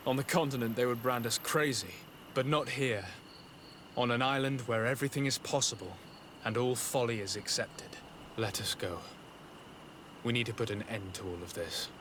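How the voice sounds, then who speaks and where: An actor playing an earnest young nobleman in a video game, speaking formally and gravely as a resolute envoy. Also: medium pitch, steady tone, moderate pace, noisy background, natural voice. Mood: weary resolve. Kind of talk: dramatic video game dialogue.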